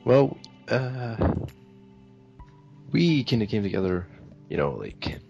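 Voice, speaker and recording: Hesitant deep-voiced man, low and rambling. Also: big pitch swings, slow pace, creaky, a little mellow, lo-fi sound, soft background music. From Sweden. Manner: hesitant, rambling, many fillers. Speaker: interview guest. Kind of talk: casual interview answers.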